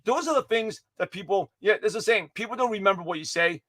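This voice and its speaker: High-voiced, quick, hoarse man. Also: no room sound, studio quality. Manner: energetic, rambling, with many restarts and self-corrections. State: hoarse, slightly raspy voice. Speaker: motivational speaker giving a daily pep talk. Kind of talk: livestream pep talk to viewers.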